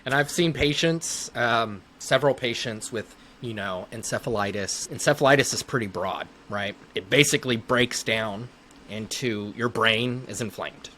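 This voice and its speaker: A man in his thirties talks casually as an expert interview guest. His voice sits at an average pitch, with faint noise and ambience behind it. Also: moderate pace, sharp tone. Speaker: podcast guest, neurologist.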